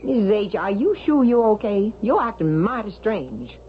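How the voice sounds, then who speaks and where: A woman's voice: medium pitch, very animated, moderate pace, close to an everyday voice. A middle-aged female servant, voiced by an actress in a muffled, lightly hissy vintage radio drama. She is folksy and fussing, with hugely swooping intonation. Her manner is defensive and easily flustered. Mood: puzzled, flustered. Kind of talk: 1970s radio drama acting.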